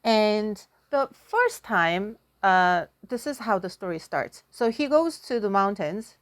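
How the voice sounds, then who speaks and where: A woman's voice: mid-range voice, sing-song, slow pace, no echo. A woman giving a casual lesson through storytelling, chatty and self-deprecating, often thinking aloud as a teacher. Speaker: Korean language teacher.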